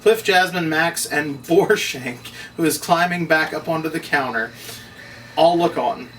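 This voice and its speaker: A man's voice: fairly high pitch, unhurried, fairly bright tone. A man narrating stage directions as he reads from a script at a table read. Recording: distant mic, noisy room.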